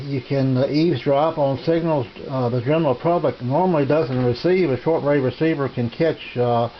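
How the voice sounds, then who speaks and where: A middle-aged man in his home radio shack, showing his shortwave receivers in a halting way with many fillers. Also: medium pitch. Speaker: ham radio hobbyist demonstrating receivers. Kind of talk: casual home video demonstration. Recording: dull lo-fi camera audio with hiss.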